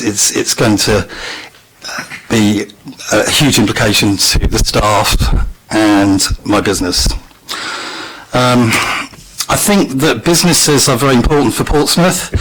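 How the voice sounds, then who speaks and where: Brief: businessman, croaky throat, measured and earnest public statement, mid pitch. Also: fairly bright tone, poor audio. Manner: earnest, measured, frequent ums. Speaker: local business owner addressing council meeting. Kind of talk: public statement at council meeting.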